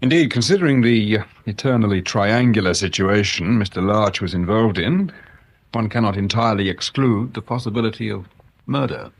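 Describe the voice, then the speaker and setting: A man playing a polite, sceptical and quietly stubborn English police inspector in a radio drama, understated and measured. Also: on the low side. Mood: respectful, careful. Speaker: actor playing a detective inspector.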